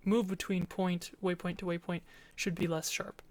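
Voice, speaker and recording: A woman presents a student project in a low, fairly monotone voice. Her delivery is matter-of-fact and explanatory, with a soft, mellow tone and music behind her. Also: normal speed. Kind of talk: informal presentation with game demo narration.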